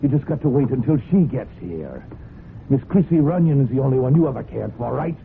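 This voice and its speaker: A man playing a surly, drunken horse trainer in a 1970s radio drama, with rough, folksy, grumbling speech. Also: medium pitch, expressive, a little fast. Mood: bitter, taunting. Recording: narrow-band old radio, loud noise.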